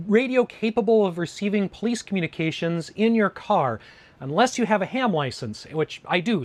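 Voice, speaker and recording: A middle-aged man from Alaska with an American accent, talking casually and informatively as a hobbyist video host in a conversational, explanatory way. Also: high-pitched. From Alaska, United States. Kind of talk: casual informative vlog talk.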